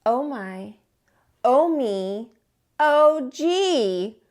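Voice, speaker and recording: Woman playing a high-pitched, upset young moose. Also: expressive, heavily acted. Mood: dismayed. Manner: high, exclaiming, drawn-out.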